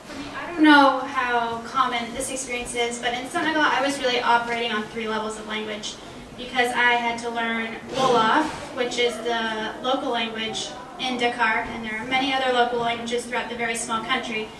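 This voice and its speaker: Young woman, panelist, conversational storyteller, higher-pitched, roomy recording with faint noise. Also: flat delivery, brisk, few pauses. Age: 20s. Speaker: panelist, language graduate. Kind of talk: conversational panel talk with personal anecdotes. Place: college panel event room. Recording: roomy, distant mic, faint background noise.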